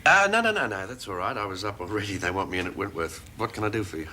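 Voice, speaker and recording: An actor playing a steady, reassuring man. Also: on the low side, fast, natural voice. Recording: hiss on old TV audio.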